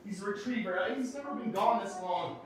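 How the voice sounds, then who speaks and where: A man's voice: high-pitched, a little fast, crowd noise, natural voice. A man acting a needling, self-absorbed husband in a naturalistic relationship drama, with emphatic delivery. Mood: anxious, pleading. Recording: echoey, distant mic, background noise.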